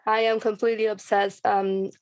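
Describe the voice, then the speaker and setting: A woman chatting casually as a podcast guest, self-mocking and quick to laugh. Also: medium pitch, sing-song. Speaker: writer and voice actor, panel guest. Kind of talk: casual chat.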